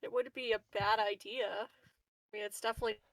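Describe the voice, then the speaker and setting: A woman's voice: a little high, steady tone, fairly quick, creaky, dry recording, rough recording. A woman chatting casually as a player in a tabletop roleplaying game. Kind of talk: casual game chat, profane.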